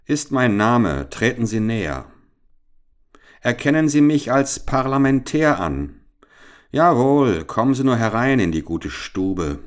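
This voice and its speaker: A man's voice: medium pitch, very animated, takes it slowly, voice pushed up. A man voicing a bold, mocking teenage boy hero. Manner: mocking, confident, emphatic. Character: bold sardonic teenage boy adventurer, cool-headed.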